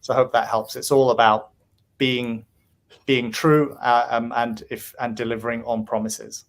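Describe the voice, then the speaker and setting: Flat-toned man speaking earnestly. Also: mid-range voice, normal speed. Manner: earnest, with hesitant fillers. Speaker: guest speaker. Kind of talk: answering questions in an online talk.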